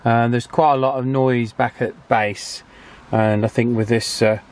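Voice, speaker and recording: A man hosts a casual hobby channel about radios. He talks in a chatty, unpolished way at an average pitch, a little hesitant and understated. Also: steady tone, a little slow. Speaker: host of a radio repair and testing channel. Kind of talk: casual vlog commentary.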